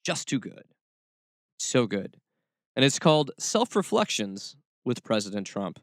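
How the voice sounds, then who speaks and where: A man's voice: medium pitch, sing-song, a little slow, dry recording. A man hosts a podcast in a close, dry recording with a middle-range voice. He talks casually through his commentary, dropping in wry and sarcastic asides. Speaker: podcast host. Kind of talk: casual talk show commentary.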